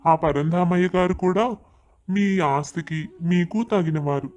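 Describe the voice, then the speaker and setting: A man's voice: sits high, a little slow, a little mellow. A man playing an eager, deferential village matchmaker in a moral story, respectful and persuasive. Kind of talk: animated moral story dialogue.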